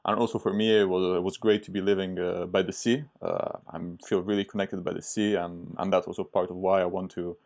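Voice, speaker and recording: A man's voice: deep voice, little pitch movement, fairly quick, hardly any pauses, a little mellow. A young man from Italy, a startup founder speaking as a podcast guest in a casual, hesitant way with many fillers and restarts. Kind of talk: casual interview talk.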